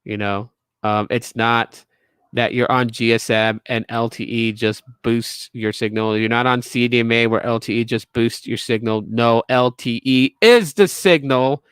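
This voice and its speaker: A man's voice: medium pitch, steady tone, unhurried, fairly bright tone, clear, resonant tone, no room sound. A man in his late thirties hosting a tech podcast, ranting casually to viewers with emphatic repeats and restarts. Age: 30s. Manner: emphatic, repetitive restarts, lecturing.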